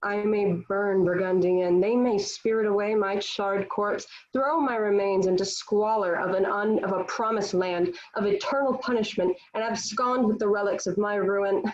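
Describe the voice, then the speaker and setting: A woman's voice: mid-range voice, moderate pace, few pauses, highs cut off, close to an everyday voice. A woman playing a defiant, devout young warrior held prisoner, speaking earnestly and declaiming in a table read of a historical play. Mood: proud, resolute.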